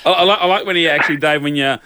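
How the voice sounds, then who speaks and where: Fast, bright-voiced Australian man. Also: fairly high pitch, clean tone, no echo. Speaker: radio co-host. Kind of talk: casual radio banter.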